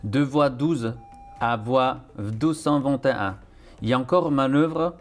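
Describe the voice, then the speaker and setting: A man speaking as a signaller in flat, clipped radio phrasing. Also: medium pitch, unhurried, faint music behind.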